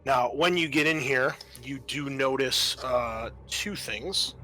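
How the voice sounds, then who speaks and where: A man narrating a tabletop roleplaying game as game master, in a halting, descriptive manner. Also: medium pitch, bright, forceful tone.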